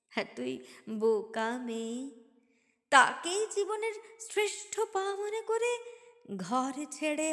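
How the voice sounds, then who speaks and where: A woman reciting a poem dramatically, with an emotive, theatrical delivery full of deliberate pauses and swells. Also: sits high. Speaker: poetry reciter. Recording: long hall-like reverb on voice.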